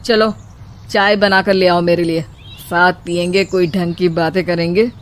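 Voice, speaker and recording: A woman's voice: fairly low pitch, fairly even intonation, normal speed, a little hiss or hum, natural voice. A woman voicing an easygoing, dismissive husband in a comic story, speaking in a casual, breezy manner. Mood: dismissive, amused. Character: easygoing husband, adult man, dismissive and teasing.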